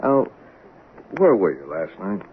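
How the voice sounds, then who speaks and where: An actor in a 1970s radio drama playing a formal, measured, persistent police sergeant. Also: male voice, sits low, big pitch swings, heavily acted. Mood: insistent, sceptical. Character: probing, persistent male police sergeant interrogating suspects. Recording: tinny narrow-band 1970s radio recording, noisy.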